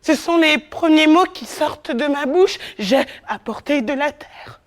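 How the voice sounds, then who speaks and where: A woman performing a poetic theatre monologue on stage, incantatory, moving between whispers and loud declamation. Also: high-pitched, lively intonation, measured pace.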